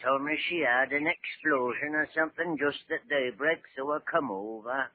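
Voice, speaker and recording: A man's voice: mid-range voice, moderate pace, dry recording, lightly acted. Man acting a rustic countryman, dry radio sound. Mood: plain, neighbourly. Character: plain rustic countryman, a married villager. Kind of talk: 1940s radio drama acting. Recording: dry narrow-band 1940s radio recording.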